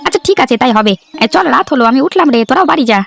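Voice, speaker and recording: Woman acting grumbling young village man, cartoon, creaky, brusque, impatient, clear music behind. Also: high voice, lively intonation, very fast, dry recording. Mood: brisk, chiding. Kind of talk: cartoon story dialogue.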